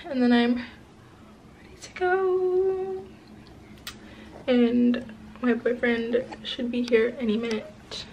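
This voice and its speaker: A woman in her twenties talking to a handheld camera in a casual vlog, chatty and hoarse from losing her voice. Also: a little high, steady tone, slow pace, long pauses, a little mellow, highs cut off, a little hiss or hum. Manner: chatty, says "like" and "oh my gosh" often. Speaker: vlogger. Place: bedroom, then inside a car. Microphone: handheld camera at arm's length.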